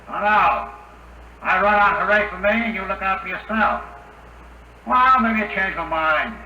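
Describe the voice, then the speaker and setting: A cocky spaceman tosses off breezy slang in a high voice. It is old radio drama, roomy and clearly noisy, with a brash self-sacrificing streak. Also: moderate pace, sharp tone. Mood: cocky, resolute. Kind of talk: 1950s radio drama acting. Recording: noisy, echoey 1950 radio broadcast.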